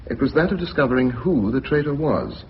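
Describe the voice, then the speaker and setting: Polite, cunning bookkeeper played by a man, lively inflection. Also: mid-range voice, a little fast, lightly acted. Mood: calm, explanatory. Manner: polite, precise, methodical. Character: deferential but quietly cunning male company bookkeeper. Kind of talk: radio drama acting. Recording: muffled radio drama recording, faint hiss.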